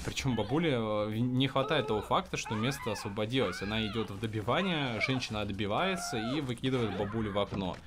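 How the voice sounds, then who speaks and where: A man's voice: on the low side, expressive, a little fast, few pauses, heavy background noise. A man giving a comedic recap of creepy animations in a sardonic, crude way, swearing freely. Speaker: video narrator.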